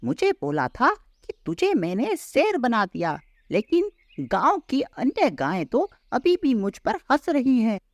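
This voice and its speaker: Woman playing a high, swooping, complaining cow. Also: huge pitch swings, measured pace, dry recording, clean studio sound, heavily acted. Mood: angry. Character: female cow, anxious, gullible, easily offended. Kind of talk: children's moral story cartoon dub.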